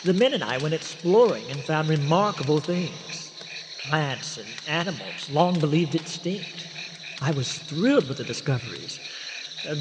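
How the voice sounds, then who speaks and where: An actor playing an earnest, eccentric castaway scientist in a radio drama, speaking formally with hesitant stammers. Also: male voice, fairly high pitch, natural voice. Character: eccentric, long-stranded scientist explorer, earnest and courtly. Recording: noisy radio drama, long cavernous reverb.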